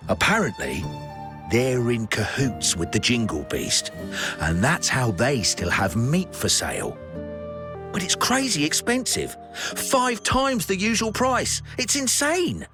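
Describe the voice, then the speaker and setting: An actor playing a chatty, fretful small-shop food vendor in a video game, with an animated, expressive delivery. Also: male voice, mid-range voice, slow, exaggerated voice. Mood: exasperated. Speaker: shopkeeper character. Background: music behind the voice.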